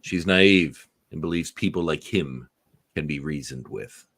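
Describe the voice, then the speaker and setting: Guy acting as older villain dad in a radio play, super deep n deliberate, dry sound. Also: fairly bright tone, breathy edge, no echo, heavily acted. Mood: grave. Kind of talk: live radio-play script reading.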